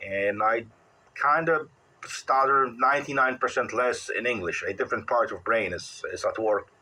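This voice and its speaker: A man in his forties with a Polish accent, chatting casually as a ham radio operator in a halting way, often repeating words. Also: mid-range voice, pushed and bright, husky, poor audio. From Poland. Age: 40s. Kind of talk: casual radio contact chat.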